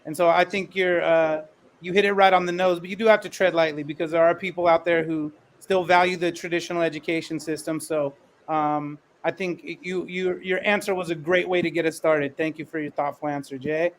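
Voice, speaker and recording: A man's voice: a little high, steady tone, brisk, slight background noise. A man moderating a panel discussion in a conversational way, as its host. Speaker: panel host and elementary school teacher.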